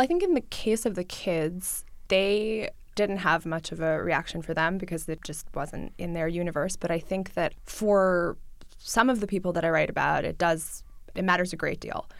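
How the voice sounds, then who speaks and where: Gravelly, chatty woman. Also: lowish voice, normal speed, no room sound. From New York City. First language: Russian. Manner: chatty, many fillers like "like" and "I think". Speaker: journalist guest. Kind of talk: casual interview talk.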